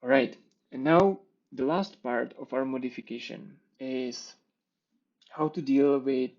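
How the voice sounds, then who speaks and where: Tech speaker, man, gravelly, methodical demo explainer, lo-fi mic. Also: mid-range voice. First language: Czech. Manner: explanatory, steady walkthrough with small restarts. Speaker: conference speaker. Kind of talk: live-coding tech talk.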